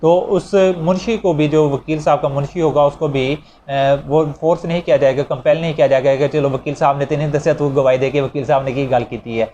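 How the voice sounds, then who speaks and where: A man with a Pakistani accent and a slightly high voice teaches in lecture style. He explains step by step, restating each point as he goes. There is some noise behind him in the recording. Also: fairly even intonation, brisk, few pauses, warm tone, dull audio. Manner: didactic, repeats and rephrases points with examples. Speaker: law lecturer. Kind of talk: explanatory lecture, reading clauses and explaining them. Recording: clearly noisy recording with ambient sound.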